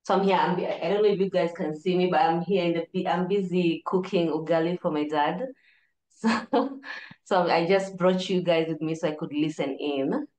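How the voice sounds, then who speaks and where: A woman's voice: fairly low pitch, normal speed, a little mellow, muffled recording. A woman in her thirties with a Kenyan accent, casually introducing herself on a video call. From Nairobi, Kenya. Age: 30s. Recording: roomy, echoing video-call sound.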